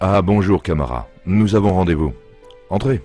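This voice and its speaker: A reassuring cartoon doctor, has a low, expressive voice. He explains things in a measured way over faint music. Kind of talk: educational cartoon narration and dialogue.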